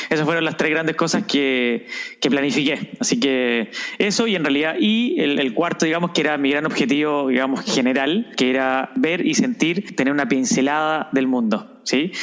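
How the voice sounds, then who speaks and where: A man with Spanish as his first language, guest on an interview podcast, speaking reflectively with frequent restarts and tag questions. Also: medium pitch. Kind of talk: conversational interview.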